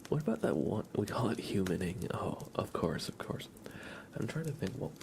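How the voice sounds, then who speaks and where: A man hosting an ASMR livestream, chatting playfully and softly with viewers, often slipping into whispers and rambling as he goes. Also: lowish voice, very animated, moderate pace, creaky, noisy background. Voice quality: soft, often breaking into whispers. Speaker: livestream host. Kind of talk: ASMR livestream chat, reading and answering viewer comments.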